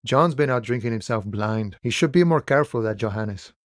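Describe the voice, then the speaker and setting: An actor playing an easygoing, wily striking dockworker in a video game, with folksy cowboy swagger and a theatrical, friendly drawl. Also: male voice, medium pitch, animated, brisk, no echo, studio quality, natural voice. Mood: amused, sly. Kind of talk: video game character dialogue.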